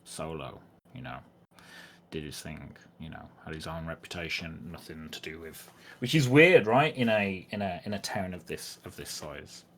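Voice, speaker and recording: A man narrating a tabletop game as game master, casual and halting as he searches for words. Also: a bass voice, expressive, creaky, fairly bright tone, slight background noise.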